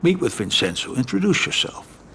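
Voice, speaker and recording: An actor playing a businesslike mafia family adviser in a video game, composed and matter-of-fact, with an American accent. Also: male voice, a little high, sing-song, normal speed, fairly bright tone, a little hiss or hum. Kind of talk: video game cutscene acting.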